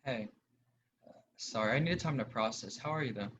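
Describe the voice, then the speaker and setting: A man's voice: mid-range voice, animated, a little fast, fairly bright tone, lightly acted. A young male actor playing a grieving, self-doubting athlete in a drama, sounding unsure and apologetic. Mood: subdued. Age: young adult. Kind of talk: drama dialogue. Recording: dull, noisy lo-fi audio with clicks.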